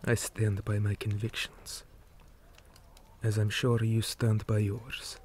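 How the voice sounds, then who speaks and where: A weary, principled orc commander, acted out by a man for an ASMR roleplay. He speaks very slowly in a low, dark voice, grave and measured, often sinking into a breathy whisper. There is faint noise behind him. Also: flat delivery. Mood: calm, resolute.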